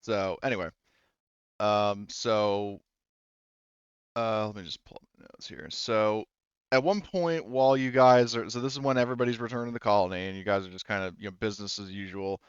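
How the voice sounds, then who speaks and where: A man's voice: on the low side, steady tone, fairly quick, clean tone, no room sound, highs cut off. A man running a tabletop game as game master, narrating casually and often restarting his sentences.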